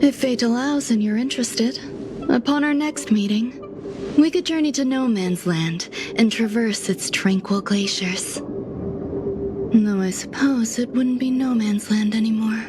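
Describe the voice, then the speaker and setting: A woman as an aloof, softly spoken genius scientist, music behind. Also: fairly high pitch, lightly acted. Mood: calm, wistful. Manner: measured, formal, softly breathy delivery with pauses. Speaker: game character voice actor. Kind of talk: scripted game dialogue, slow reflective monologue.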